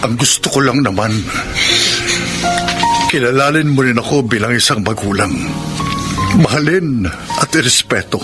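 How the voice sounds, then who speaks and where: A man's voice: mid-range voice, normal speed, long pauses, over loud music, lightly acted. An actor playing a middle-aged ex-convict father in a Filipino radio drama, determined and earnest. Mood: earnest, longing. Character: determined ex-convict father longing for his daughter.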